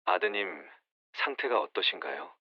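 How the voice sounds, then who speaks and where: A man voicing a calm, formal emergency dispatcher heard over the phone in an audio drama. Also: low-pitched, moderate pace, husky, no echo. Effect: phone line. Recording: dull phone-line sound.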